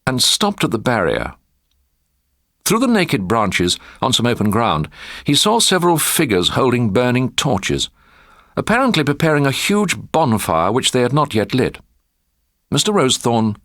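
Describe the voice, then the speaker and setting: Expressive British man narrating a story. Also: medium pitch, no echo, clean studio sound. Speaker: audiobook narrator. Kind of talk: scripted short story narration.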